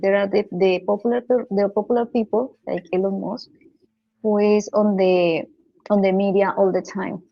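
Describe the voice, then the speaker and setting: A woman's voice: mid-range voice, no room sound, lo-fi sound. A woman with a Colombian accent answering interview questions, speaking hesitantly with many fillers and restarts. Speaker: guest, physicist and data scientist.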